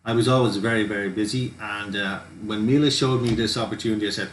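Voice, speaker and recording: Middle-aged guy pitching on a webinar, chatty and rambly, roomy echo, bit of hiss. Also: steady tone, quick pace. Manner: upbeat, rambling, many fillers. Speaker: webinar co-host, business coach. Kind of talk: casual sales pitch. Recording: echoey room, faint noise.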